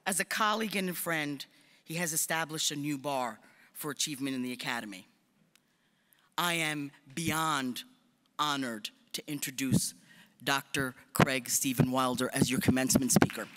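A man's voice: mid-range voice, moderate pace. Man introducing a speaker in a hall. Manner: warm, joking aside in formal introduction. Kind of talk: formal introduction speech. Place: large hall stage at podium. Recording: long hall reverb.

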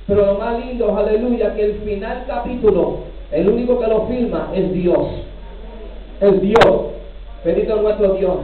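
A man's voice: high-pitched, a little slow. A middle-aged man, a New York-born Puerto Rican pastor, preaching a fervent testimony at a church pulpit, peppering his Spanish with hallelujahs and bits of English. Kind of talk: Pentecostal sermon testimony. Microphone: handheld mic, recorded from far back. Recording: distant, echoey, tinny church recording.

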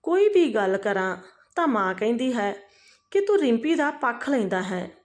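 A frustrated young husband and son in a narrated family tale, acted by a woman storyteller. He protests in an aggrieved, plain-spoken way, in a high and animated voice. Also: normal speed. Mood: sad, fed up.

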